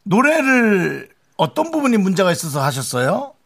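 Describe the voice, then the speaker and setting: A man hosts a radio comedy segment with quick, teasing banter. His voice is gravelly and slightly husky, a little higher than most men's. Faint music plays underneath. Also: sing-song, a bit bright. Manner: quick teasing comic host, plays along in a bit.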